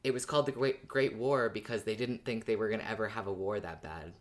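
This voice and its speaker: This is a podcast co-host with a medium-pitched, androgynous-sounding voice. The delivery is casual and conversational, with an earnest, explanatory way of laying out thoughts. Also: steady tone, quick pace.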